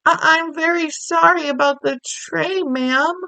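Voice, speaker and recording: A woman's voice: very high-pitched, steady tone, unhurried, vocal fry, sharp tone, no room sound, voice pushed up, full character voice, poor audio. A woman doing an anxious, deferential old maid. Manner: deferential, stammering.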